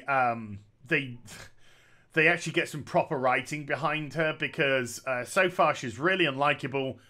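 Male commentator in his thirties, sarcastic and opinionated, bright and slightly high-pitched voice, speaking on a headset at home. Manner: sarcastic, opinionated, jokey asides. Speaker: gaming commentator. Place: small room at home.

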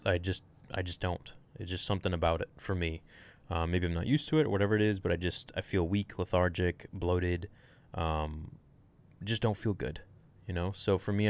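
Young male fitness streamer, low voice, frank off-the-cuff Q&A, close desk mic in a small room. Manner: rambling, frank, swears casually. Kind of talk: casual livestream Q&A.

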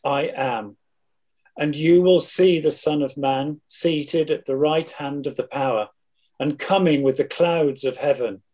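Man reading calm holy teacher, fairly high male pitch, resolute, declarative scripture drama. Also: unhurried.

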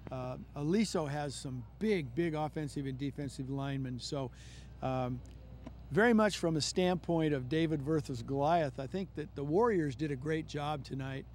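A man's voice: on the high side, moderate pace, a little mellow, slight background noise. An older man acting as coach analyst on a post-game football show outdoors at night, speaking measuredly into a handheld mic with frequent fillers.

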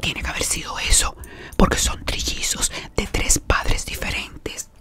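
Whispering woman in an ASMR roleplay. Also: measured pace. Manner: gossipy whispering, talking to an unseen caller and a client. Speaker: beautician in a roleplay.

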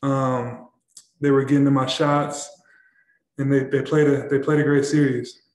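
A young man, a basketball player, answering questions at a postgame press conference, halting and repeating words with many fillers. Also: mid-range voice, flat delivery, a little mellow, echoey room. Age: young adult. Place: press room in front of a backdrop.

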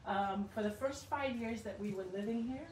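Self-help vlog: older woman, earnest and warm, recorded outdoors on a phone a few feet away, with the hiss of the yard behind her. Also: on the high side, normal speed. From Hong Kong. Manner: warm, earnest, gestures a lot with her hands. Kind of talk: casual talk to camera. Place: sunny backyard garden outdoors. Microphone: phone camera at a few feet. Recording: distant outdoor mic with ambient noise.

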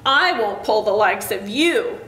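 A woman's voice: medium pitch, animated, unhurried, fairly bright tone, voice pushed down. A woman voicing a big, strong male freight engine, boastful and self-important, in a children's storybook reading. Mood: haughty, boastful. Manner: pompous, boastful. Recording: echoey room with faint noise.

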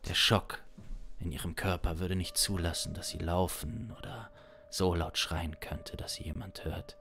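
A man in his thirties improvising a dark crime narration in a dramatic, intense manner, close on a studio mic. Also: sits low, fairly even intonation, measured pace, vocal fry. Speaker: improvising audio drama performer. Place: small home studio, indoors. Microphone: close studio condenser mic. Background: instrumental music.

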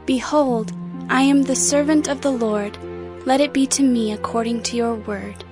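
Devout young maiden, female actor: high pitch, reverent, humble, scripture drama over music. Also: normal speed, lightly acted. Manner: reverent, lyrical recitation. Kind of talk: dramatized audio Bible acting.

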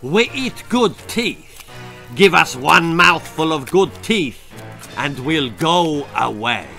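A man's voice: fairly high pitch, lively intonation, slow, creaky, pushed and bright, faint music behind, exaggerated voice. A man voicing a greedy, brutish bacteria monster, a grunting gang leader in a storybook. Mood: menacing.

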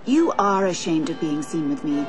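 An actress playing a worldly, challenging mistress in a radio drama, with a teasing, probing manner. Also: female voice, sits low, lively intonation, moderate pace, over music.

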